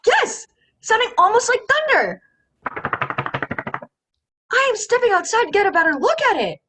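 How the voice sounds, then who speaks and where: A woman's voice: very high voice, huge pitch swings, background noise, full character voice. A teenage woman playing a flustered, awestruck radio reporter in a melodramatic student play over a video call. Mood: awestruck, alarmed. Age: teens. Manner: melodramatic, halting when flustered. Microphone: computer microphone on video call.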